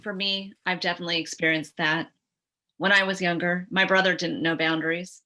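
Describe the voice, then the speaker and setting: A woman with a bright, sharp-edged voice pitched on the low side reads poetry aloud. She is a mentor speaking over a video call. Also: steady tone, fairly quick. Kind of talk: reading a poem aloud.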